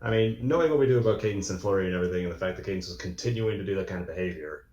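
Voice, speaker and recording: A man's voice: deep voice, rapid-fire, a little mellow, roomy sound, dull audio. A man casually hosting a panel chat, asking the others for their thoughts and giving his own. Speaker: panel host.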